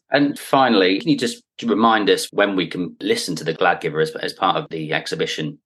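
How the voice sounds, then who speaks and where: A man presenting a podcast in a chatty, informal and gently self-deprecating way. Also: low voice, fairly quick. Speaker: podcast host and interviewer.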